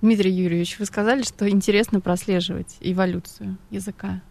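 A woman's voice: medium pitch, steady tone, brisk, creaky, a little mellow. A woman co-hosting a radio interview from a studio, asking questions and addressing listeners conversationally. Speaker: radio co-host.

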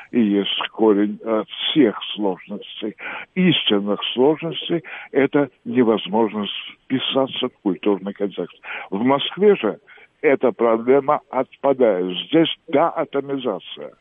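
A man's voice: medium pitch, no room sound. A man calling in to a radio show, speaking at length in an ornate, digressive way with frequent hesitations. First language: Russian. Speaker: radio caller. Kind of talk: call-in radio monologue. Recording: tinny phone line.

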